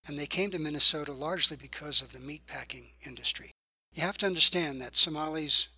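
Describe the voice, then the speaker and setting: A man's voice: on the high side, sharp tone, breathy edge, low-quality recording. An older man, an author and journalism professor, answering podcast interview questions thoughtfully, often repeating words as he searches for the phrase.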